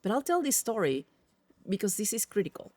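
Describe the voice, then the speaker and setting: A middle-aged woman from Peru with a Spanish accent, a guest telling stories in an emphatic, animated way. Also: mid-range voice, brisk, dry recording. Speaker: podcast guest, tech executive. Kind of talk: casual interview conversation.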